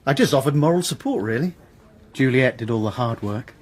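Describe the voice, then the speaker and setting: A man's voice: medium pitch, animated, a little fast, slightly rough. An actor playing a modest, courteous man, a diffident family friend, in a radio drama.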